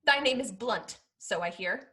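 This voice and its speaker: A woman playing a spirited, teasing young gentlewoman in a Restoration comedy, with arch, emphatic theatrical delivery. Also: a little high, lively intonation, a little slow. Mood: threatening. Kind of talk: theatrical period comedy acting.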